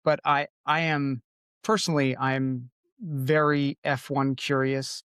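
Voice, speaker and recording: A man's voice: mid-range voice, level tone, slow, a bit bright, smooth, dry recording. A man from Connecticut hosts a podcast in a loose, chatty way, circling back with plenty of "uh" and "like" as he goes. His voice sits in a middle range and sounds close and dry, with no room around it. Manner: rambling, many fillers and restarts. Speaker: podcast host. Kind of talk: casual interview chat.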